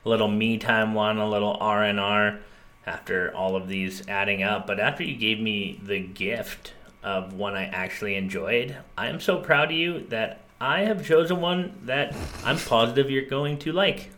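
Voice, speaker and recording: A man's voice: fairly low pitch, normal speed, bright, forceful tone. A young man co-hosting a casual podcast, talking in an upbeat, joking way. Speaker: podcast co-host.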